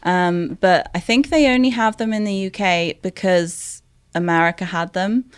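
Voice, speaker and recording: A woman's voice: lowish voice, moderate pace, clear, resonant tone, no room sound, studio quality. A young English woman with a neutral British accent, chatting as a guest on a podcast, talking loosely with lots of fillers. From England. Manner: chatty, many fillers and hedges. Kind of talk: casual chat.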